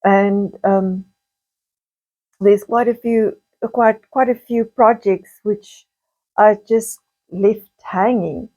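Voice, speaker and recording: A South African woman vlogger talks in a medium-pitched, slightly croaky voice. She chats casually and rambles, often repeating words and wandering off into asides before returning to her point. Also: steady tone, takes it slowly, dark tone, muffled recording. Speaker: video blogger. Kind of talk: casual chatty vlog monologue.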